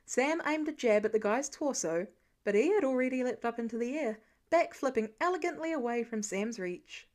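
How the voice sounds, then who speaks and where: High-pitched, animated woman reading a story. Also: normal speed. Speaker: podfic reader. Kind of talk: scripted fan fiction narration.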